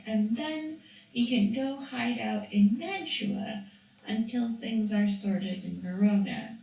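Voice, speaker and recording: A woman's voice: on the high side, a little mellow, distant mic. A woman narrating a story for a school puppet show, reading carefully with a few restarts. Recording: echoey room, voice from off-mic.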